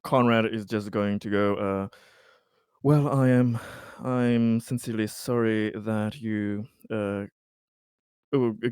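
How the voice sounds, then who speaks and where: A man talking casually as a player in a roleplaying game, hesitant, pausing and repeating words. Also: fairly low pitch, darkish tone, clean tone, no echo, clean studio sound.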